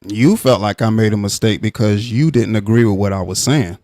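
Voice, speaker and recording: A man's voice: on the low side, brisk, a little mellow, dry recording. A man hosting a live stream panel, firmly and insistently standing his ground in an argument and repeating his points. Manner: firm, insistent, repeats himself.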